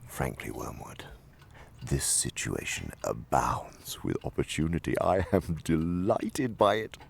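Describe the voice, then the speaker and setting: A man's voice: rumbling low, very animated, slow, creaky, a little hiss or hum, exaggerated voice. A man playing a senior demon uncle in a radio drama, cunning, pompous and menacing, savoring his words and slipping into whispers. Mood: coolly delighted. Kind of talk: radio theater dramatic monologue.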